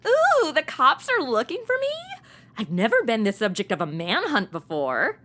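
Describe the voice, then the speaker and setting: An irreverent young woman in a comedy radio play. Her voice sits high and swings animatedly as she chats away. She is sassy and flippant, with casual modern phrasing. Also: wildly swooping pitch, normal speed, fairly bright tone. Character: flippant, chatty young woman posing as Robin. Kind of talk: comedy audio drama acting.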